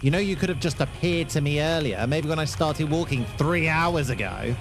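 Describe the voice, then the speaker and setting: A man's voice: a little high, moderate pace, close to an everyday voice. A man playing a defensive, lonely war survivor in an audio drama, sounding wary and earnest. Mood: irritated. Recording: echoey, music underneath.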